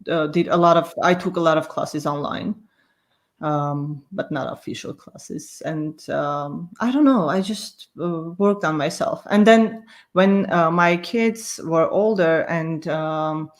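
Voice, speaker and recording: A middle-aged woman from Armenia with an Armenian accent, speaking reflectively in an interview and often searching for words. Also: sits low.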